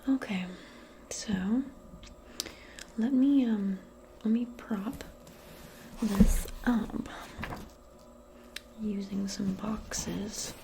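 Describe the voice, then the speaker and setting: A woman doing a soft-spoken, whispered ASMR role play as a modern witch, hushed and often repeating words rhythmically. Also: mid-range voice, fairly even intonation, very slow, gravelly, long pauses, noisy background.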